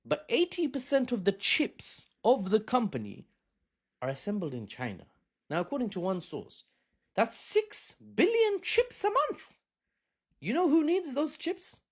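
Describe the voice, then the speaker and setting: A man hosting a casual political commentary, animated and joking with sarcastic asides. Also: extremely high pitch, a little slow, breathy edge. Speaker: host.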